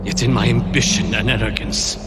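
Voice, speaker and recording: An actor playing a grave, remorseful old scientist in a video game drama, speaking in solemn, deliberate, oracular phrases. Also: male voice, medium pitch, steady tone, over loud music, close to an everyday voice.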